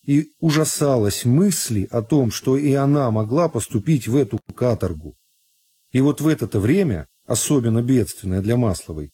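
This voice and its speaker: A man reads literary narration for radio in a measured, deliberate storytelling manner. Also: medium pitch, warm tone, slightly rough. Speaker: narrator of a radio reading of a classic novel.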